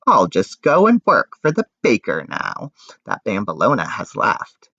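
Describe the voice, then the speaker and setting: Man putting on an excitable, foolish young handyman. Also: lowish voice, a little slow, gravelly, exaggerated voice. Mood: sulky. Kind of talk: children's picture-book character voice. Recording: dull, roomy home recording.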